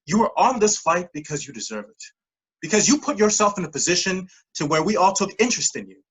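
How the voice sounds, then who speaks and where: Actor as grandiose scheme leader, husky, bright, swooping pitch, ceremonious, rousing, staged reading. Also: very animated, fast talker, low-quality recording. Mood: solemn, welcoming. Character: Peter, grandiose pilot leading a pyramid scheme.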